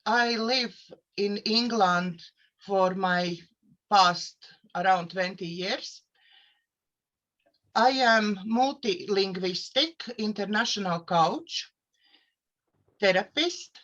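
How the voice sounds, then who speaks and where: A woman with a Latvian accent speaks slowly and deliberately, pausing on "uh" as she picks her English words. She introduces herself to viewers as a coach and therapist. The sound is a little dull and lo-fi. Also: medium pitch, fairly bright tone. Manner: careful non-native English with hesitant fillers. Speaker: host, life coach and therapist. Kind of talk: self-introduction to camera.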